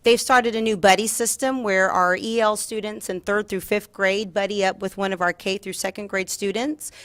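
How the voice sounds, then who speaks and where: A woman's voice: mid-range voice, fairly even intonation, normal speed, fairly bright tone, dry recording. Woman presenting formally, dry close sound. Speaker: school district administrator presenting a report. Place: school board meeting room.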